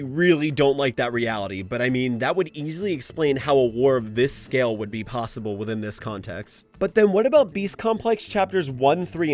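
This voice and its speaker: Animated man commentating, higher voice. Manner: informal, rhetorical questions. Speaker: video essay host. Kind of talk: lore commentary.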